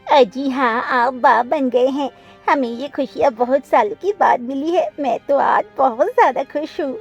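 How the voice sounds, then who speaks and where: Woman voicing a high-pitched doting cuckoo wife. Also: unhurried, breathy edge, dull audio, faint music behind, heavily acted. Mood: joyful. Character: happy female cuckoo, doting new mother and wife. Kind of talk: cartoon story character voice.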